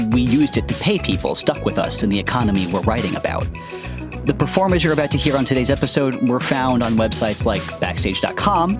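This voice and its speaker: A man hosting a podcast, giving a conversational scripted introduction. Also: lowish voice. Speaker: podcast host and writer. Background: music with guitar.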